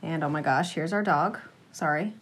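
A woman's voice: low voice, a bit bright, slightly rough. Easygoing woman musician from Massachusetts. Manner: easygoing, agreeable, quick asides. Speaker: musician guest. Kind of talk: casual interview chat.

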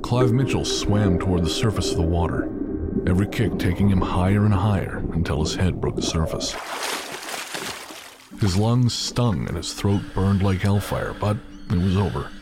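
Low-voiced male story narrator. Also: normal speed, loud music behind the voice. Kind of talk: scripted audio drama narration.

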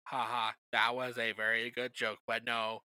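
A stilted robot, played by a man, speaks in a flat, deadpan monotone. The voice sits a little lower and quieter than everyday talk and keeps a bright edge, in a dry recording. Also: moderate pace, smooth, no room sound. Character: stilted monotone robot telling binary jokes.

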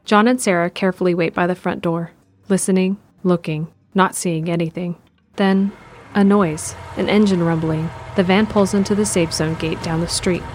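A woman narrating a scripted audio drama in a measured, descriptive way. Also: fairly low pitch, fairly even intonation, a little mellow, slight background noise.

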